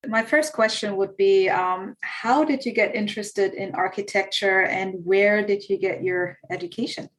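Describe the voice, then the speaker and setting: A woman's voice: mid-range voice, normal speed, hardly any pauses, a bit bright. A woman from Germany with a German accent, cohosting an interview and chatting casually. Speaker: guest cohost. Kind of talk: interview questions and chat.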